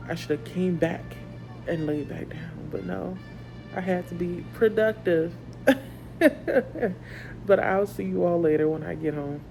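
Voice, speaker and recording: A woman's voice: low voice, rough recording. A woman chatting casually about her reading, rambling and correcting herself as she goes, congested and tired. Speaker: book vlogger. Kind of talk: casual reading update chat.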